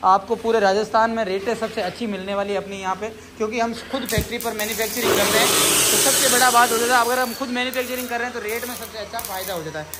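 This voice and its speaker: High-pitched, fast-talking salesman. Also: steady tone. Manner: repetitive, running sales patter. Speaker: factory owner promoting his workshop's products. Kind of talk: improvised promotional video pitch to camera. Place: welding workshop at a factory. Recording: noisy workshop with hiss and machinery.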